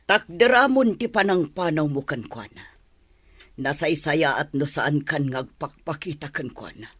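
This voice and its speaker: An actress in a radio drama playing a concerned, disapproving middle-aged mother with a husky voice. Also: sits low, expressive, a little slow, a bit bright, no room sound, full character voice. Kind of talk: Ilocano radio drama acting.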